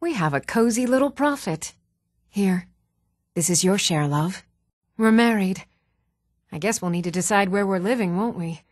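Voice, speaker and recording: A composed townswoman of a fantasy realm, acted by a woman, speaks in a measured, even tone at a middling pitch. The lines are scripted video game dialogue, recorded very dry with no room around the voice. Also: dry recording, close to an everyday voice. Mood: friendly, matter-of-fact.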